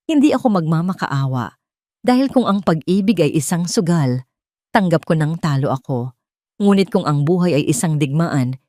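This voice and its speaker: A woman reading a scripted first-person drama with solemn, measured, emotive delivery. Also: fairly low pitch, mellow, no echo, clean studio sound. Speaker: audio story narrator.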